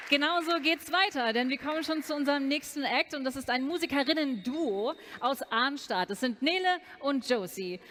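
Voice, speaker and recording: A woman's voice: high voice, a bit bright. A woman hosting a live song contest final, upbeat, switching between German and English. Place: large hall on stage. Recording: long hall reverb.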